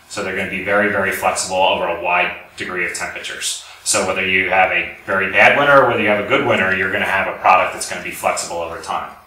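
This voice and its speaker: A man's voice: deep voice, pushed and bright. A man giving an informal technical lecture, explaining things and often correcting himself as he goes. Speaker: company executive giving a product lecture. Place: indoor lecture room with audience. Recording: very echoey room, distant mic, faint noise.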